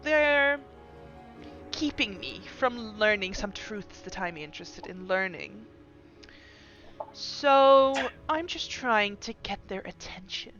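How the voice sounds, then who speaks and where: A woman playing a sly kobold trickster bound to a fey patron, smooth and elegant, wry and wounded by suspicion. The voice is bright and forceful. It comes through a dull, lo-fi recording. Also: medium pitch, animated, takes it slowly, faint music behind.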